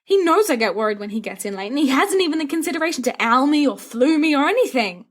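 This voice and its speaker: A woman's voice: sits high, expressive, fast talker, voice pushed up. A woman voicing a sulky, dramatic teenage schoolboy who frets and complains in a storybook reading. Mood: fretting. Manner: melodramatic complaining.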